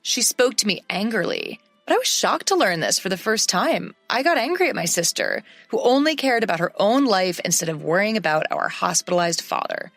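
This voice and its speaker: A woman reading a first-person story as narrator in a steady scripted telling, close to the mic. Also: mid-range voice, fairly quick, few pauses, dry recording, soft background music.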